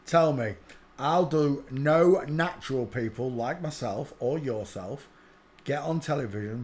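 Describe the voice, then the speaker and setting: A man's voice: mid-range voice, a little mellow. Brash, scoffing male video commentator. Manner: brash, scoffing, jumps between asides and quick remarks. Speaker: channel host commenting on clips. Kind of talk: casual mocking commentary over video clips.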